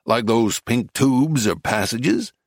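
A man's voice: mid-range voice, lively intonation, slow, no room sound, clean studio sound. A man voicing an amiable, literal-minded American male tourist in an audiobook, questioning and puzzled. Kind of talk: acted audiobook dialogue.

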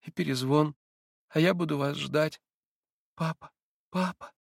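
A man's voice: fairly high pitch, steady tone, measured pace, gravelly, no room sound, clean studio sound, voice pushed up. Man doing a frail pleading little boy.

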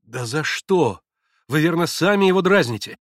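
A man's voice: fairly high pitch, expressive, normal speed, no echo, studio quality, pitched above the performer's own voice, natural voice. A man voicing an earnest, compassionate young man in an audiobook, speaking with urgency. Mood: reproachful.

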